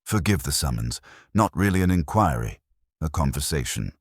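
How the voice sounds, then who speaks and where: Very deep, courteous police inspector read by a man. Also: sing-song, normal speed, breathy edge, no room sound, studio quality, exaggerated voice. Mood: courteous, measured. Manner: polite, understated, formal. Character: courteous, quietly firm male police inspector.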